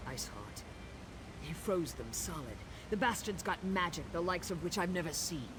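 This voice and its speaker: Grim orc warrior, higher-pitched male voice. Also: background noise. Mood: somber. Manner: grave, blunt. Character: grim orc warrior on patrol, scornful and battle-weary. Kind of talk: video game dialogue acting.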